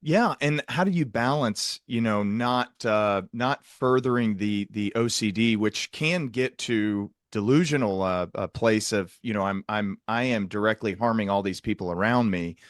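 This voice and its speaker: A man hosting a conversational interview in a reflective way, building long, qualified sentences with pauses. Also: lowish voice, no echo, clean studio sound. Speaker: podcast host, psychiatric provider.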